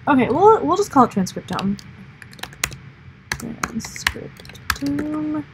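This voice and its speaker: A woman's voice: high-pitched, big pitch swings, slow, heavy background noise. A young American woman hosting a livestream, explaining things in a rambling, upbeat chat full of fillers. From United States. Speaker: livestream host. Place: indoor office or lab room.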